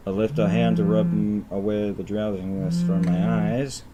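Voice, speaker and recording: A man's voice: fairly low pitch, moderate pace, highs cut off, very noisy. A man reading story narration aloud on a live stream, stumbling over words and repeating phrases as he goes.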